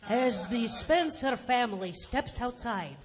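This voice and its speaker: An actress playing a campy horror-show host, narrating a live audio play with tongue in cheek. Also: female voice, fairly high pitch, expressive, measured pace. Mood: mock-dramatic. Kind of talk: live theatrical scripted narration.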